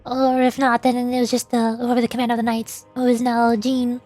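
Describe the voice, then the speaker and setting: A woman's voice: a little high, completely flat delivery, a little fast, a little mellow, soft background music. A woman streaming a game, chatting casually and reading lore aloud in a giggly, easily puzzled, self-deprecating manner.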